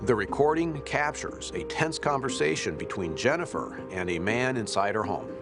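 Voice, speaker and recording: A man's voice: medium pitch, very animated, moderate pace, music in the background. A man speaking as the host and investigator of a documentary, narrating and asking questions.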